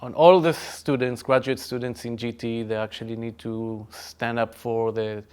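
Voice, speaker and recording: Male academic podcast guest, Israeli accent, clean resonant medium-pitched voice, emphatic delivery. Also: little pitch movement, moderate pace, darkish tone, clear, resonant tone. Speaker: chemistry professor. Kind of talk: conversational podcast discussion.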